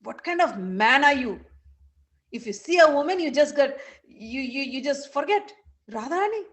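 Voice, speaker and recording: A woman's voice: fairly high pitch, animated, moderate pace, a bit bright. A woman acting an indignant, scolding young woman. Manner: accusing, indignant, rhetorical questions. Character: angry, fiercely loyal young woman scolding a cheating man.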